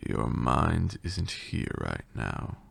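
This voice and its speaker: A man playing a commanding vampire lord and magic mentor in a roleplay ASMR, with a gravelly voice and a deliberate, dryly sarcastic manner. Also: big pitch swings, no echo, full character voice. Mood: stern, weary. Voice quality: gravelly, very deep, breathy at times. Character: stern, teasing old male vampire lord, mentor in magic.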